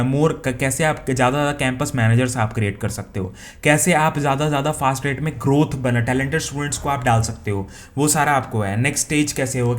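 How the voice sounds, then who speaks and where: A young man from India with an Indian accent, explaining remote job listings in a casual, conversational way, often restarting and checking in with the viewer. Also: medium pitch. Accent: Indian English. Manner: conversational, frequent restarts and "theek hai?" check-ins. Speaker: career advice YouTuber. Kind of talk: casual explainer talk, Hindi-English mix.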